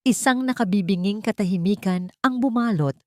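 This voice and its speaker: A woman with a Filipino accent reading a dramatic story in a measured, suspenseful storytelling manner. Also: medium pitch, dry recording, clean studio sound. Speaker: audio drama narrator. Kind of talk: dramatic scripted story narration.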